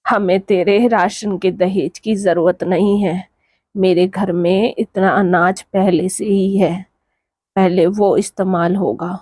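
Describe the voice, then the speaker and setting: A woman's voice: mid-range voice, steady tone, takes it slowly, a little mellow, slightly rough. A woman voicing a scolding, reproachful older mother-in-law in a cartoon moral story. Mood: scornful.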